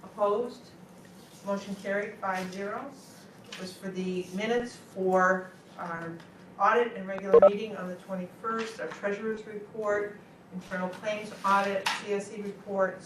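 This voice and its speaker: A woman chairing a school board meeting, asking plain questions. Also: medium pitch. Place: meeting room. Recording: distant echoey room mic, faint noise.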